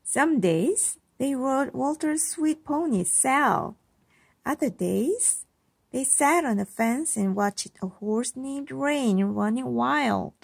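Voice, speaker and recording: A woman reading a children's storybook aloud as a mother would to her child, with expressive narration. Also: sits high, takes it slowly, vocal fry, slightly rough, dry recording.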